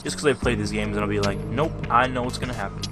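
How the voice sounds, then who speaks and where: A man's voice: lowish voice, fast. A man giving casual gameplay commentary as he plays. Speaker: gamer. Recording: voice-over under loud game audio. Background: loud game music.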